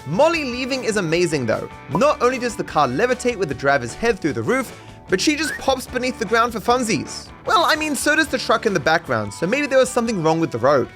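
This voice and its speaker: A man's voice: high voice, huge pitch swings, a little fast, a bit bright. A man reading scripted comedic commentary on a video game in a dry, mock-serious, sarcastic way. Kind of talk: scripted comedic narration over game footage. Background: music under the voice.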